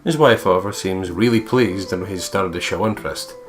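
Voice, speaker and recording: A man with a lowish voice narrates a visual novel for a let's play. His reading is playful and theatrical, peppered with swearing, with faint music underneath. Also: a little fast. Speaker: let's player reading the game aloud.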